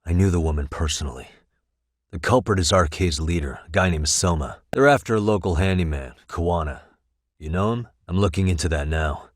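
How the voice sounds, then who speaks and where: An actor playing a composed, determined male detective in a video game, speaking in a measured, probing way with dry wit. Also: deep voice, dry recording. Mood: firm, earnest. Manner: measured, probing, dryly wry questioning. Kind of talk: English video game voice acting.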